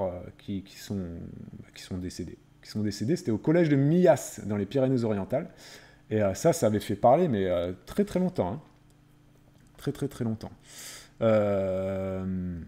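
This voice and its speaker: A man's voice: medium pitch, warm tone. A man chatting casually as a live streamer, replying to viewers in a conversational way and repeating phrases for emphasis.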